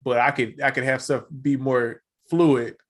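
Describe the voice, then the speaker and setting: A hoarse man co-hosting a podcast, chatting casually with easygoing slang. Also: mid-range voice, steady tone, measured pace. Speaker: podcast co-host. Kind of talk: casual chat.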